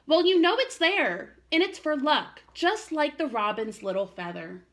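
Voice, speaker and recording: A woman voicing a gentle, loving mother raccoon, encouraging her child in a storybook. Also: sits high, sing-song, a little slow, pitched above the performer's own voice, heavily acted.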